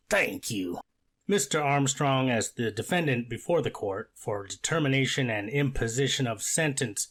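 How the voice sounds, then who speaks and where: Man as courtroom judge, stern yet paternal, deliberate, admonishing, mid-range voice, amateur reenactment. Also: mid-range voice, fairly bright tone, close to an everyday voice. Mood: formal, measured. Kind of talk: amateur readers theater court transcript reenactment.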